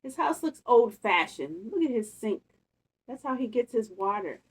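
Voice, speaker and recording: Female storytime reader: high-pitched, highly animated, unhurried, playful chat between lines of the book. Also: husky, low-quality recording. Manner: expressive, playful, chatty. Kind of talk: read-aloud with casual asides to children.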